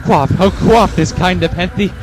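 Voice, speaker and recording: A man voicing a grief-stricken, desperate man who cries out and pleads in high, strained shouts. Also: a little slow, background noise. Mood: frantic.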